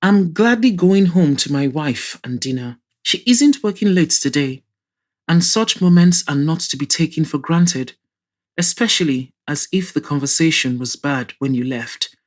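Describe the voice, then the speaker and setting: A woman's voice: deep voice, expressive, measured pace. A woman reading the part of an easygoing, joking married man among friends in an audio drama. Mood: wry.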